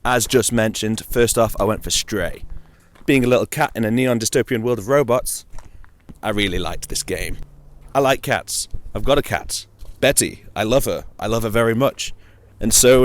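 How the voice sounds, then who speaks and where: A man with a fairly low-pitched voice hosts a casual audio log about video games. He talks informally, as if to a friend, and drops in playful, wry asides. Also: normal speed. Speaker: host of a gaming audio log.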